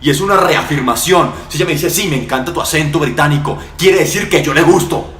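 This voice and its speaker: A man's voice: fairly high pitch, quick pace, fairly bright tone, reverberant. A man giving brash, slangy voice-over commentary on a dating clip. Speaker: channel host commenting on the clip.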